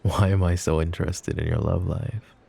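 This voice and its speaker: A man playing a flirty, possessive mafia boss in a roleplay ASMR drama, smooth-talking, teasing and protective. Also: low-pitched, flat delivery, normal speed, warm tone, full character voice. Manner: smooth-talking, teasing, pet names, sighs.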